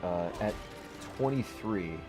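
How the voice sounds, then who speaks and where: Low-voiced rambling male streamer. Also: animated, takes it slowly. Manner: rambling, with many restarts and fillers. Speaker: livestreamer playing a game. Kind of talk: casual stream chat answering chat. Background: background music.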